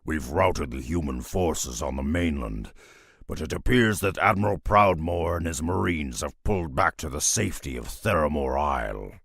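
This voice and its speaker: A man voicing a grim, loyal half-ogre beastmaster in a fantasy video game, with a gravelly growl and solemn, terse military speech. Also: extremely low pitch, animated, moderate pace, fairly bright tone, dry recording, studio quality, exaggerated voice.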